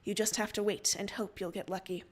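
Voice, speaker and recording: A woman's voice: mid-range voice, steady tone, a little fast. A woman narrating a story dramatically in the second person, as a co-reader on a livestream.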